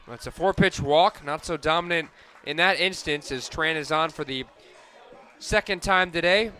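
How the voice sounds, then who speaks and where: A man's voice: fairly high pitch, normal speed, bright, forceful tone. A man doing radio sports commentary as a play-by-play announcer.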